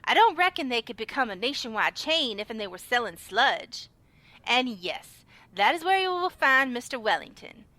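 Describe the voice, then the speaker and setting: A high, bright-voiced cowgirl clerk played by an actress in a comic western audio drama. She has a Southern drawl and a sassy, no-nonsense manner, with lively swings in pitch. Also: moderate pace, no room sound. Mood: sassy, matter-of-fact. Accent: American Southern, Old West cowboy style. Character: sassy, no-nonsense female cowboy-town clerk.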